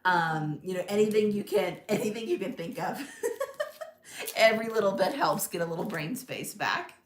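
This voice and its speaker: A young woman hosting a live crafting stream from her home craft room, chatting casually, thinking aloud, laughing often and reading out viewer comments in a loud voice. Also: mid-range voice, lively intonation, normal speed, roomy sound. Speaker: crafting livestream host. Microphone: tablet mic across the room.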